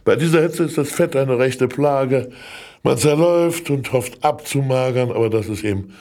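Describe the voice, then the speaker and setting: An actor playing a very fat older state councillor in a radio play, jovial, sly and self-mocking, with a theatrical delivery. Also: male voice, medium pitch, moderate pace, a little mellow, close to an everyday voice.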